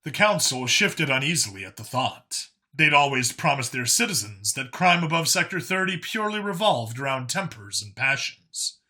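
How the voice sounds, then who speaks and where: A man's voice: mid-range voice, very animated, normal speed, hardly any pauses, bright, forceful tone. A man reading scripted story narration as an audiobook narrator.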